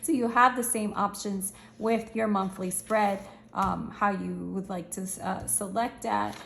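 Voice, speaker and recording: Upbeat, slangy woman host, fraying hoarse voice, steady flat delivery. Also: mid-range voice, a little slow, roomy sound, a little hiss or hum. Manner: chatty, upbeat, slangy, thinks aloud. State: losing her voice, slightly hoarse. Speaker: channel host reviewing planners. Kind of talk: casual product review walkthrough.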